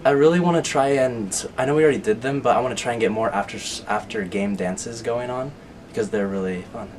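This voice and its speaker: A man's voice: mid-range voice, a little fast, far from the mic, slight background noise. A teenage boy student answering interview questions in a plain, easygoing way. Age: teens. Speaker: student candidate.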